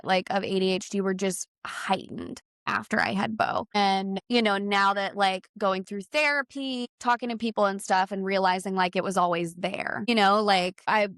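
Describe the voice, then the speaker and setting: A woman's voice: medium pitch, clear, resonant tone, no echo, studio quality. A woman in her thirties telling stories in a rambling, casual chat, often restarting her sentences. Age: 30s. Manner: rambling, restarts often, animated when quoting others. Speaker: podcast co-host.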